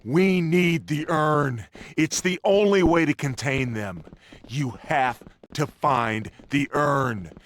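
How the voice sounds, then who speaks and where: A man's voice: fairly high pitch, slow. An actor playing a grave, urgent man who tends lost souls in a video game cutscene, solemn, terse and commanding.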